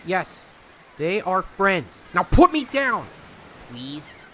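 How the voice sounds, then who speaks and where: An actor playing an earnest raccoon adventurer hero in an audio drama. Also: male voice, high-pitched, very animated, drawn-out words, fairly bright tone, a little hiss or hum, heavily acted. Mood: alarmed. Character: young heroic raccoon adventurer, earnest and quick to react.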